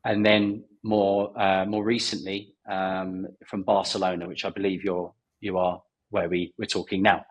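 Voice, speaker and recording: A male podcast host with a husky, fairly low voice. He introduces his guest in a loose, rambling way, full of "you know"s and restarts. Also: flat delivery, a little slow, few pauses, low-quality recording. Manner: rambling, many fillers and restarts.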